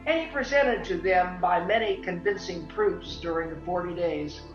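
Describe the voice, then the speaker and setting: A woman narrating a scripted dramatic story with theatrical, deliberate phrasing. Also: on the low side, lightly acted. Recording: echoey room, slightly dull audio. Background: music.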